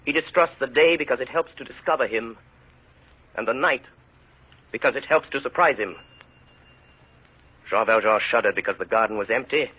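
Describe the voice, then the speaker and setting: An actor playing a grave, intense storyteller and hunted man in vintage radio drama, measured and theatrical. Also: mid-range voice, husky, lightly acted. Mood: grave, suspenseful. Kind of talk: 1930s-40s dramatic radio narration and acting. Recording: muffled vintage radio recording.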